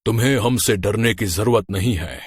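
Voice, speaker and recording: Stern husky male ghost acted by a man. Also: on the low side, moderate pace, no echo, studio quality. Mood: grave, measured. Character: stern male ghost, a devil with humanity left. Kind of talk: Hindi horror story dialogue.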